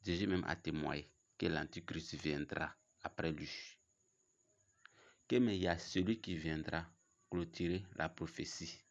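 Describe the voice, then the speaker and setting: A man with a cold giving a casual, challenging religious talk to his viewers, repeating himself and pausing often. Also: deep voice, fairly even intonation, vocal fry. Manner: challenging, repetitive, halting. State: has a cold. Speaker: religious video host. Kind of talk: casual religious preaching to camera.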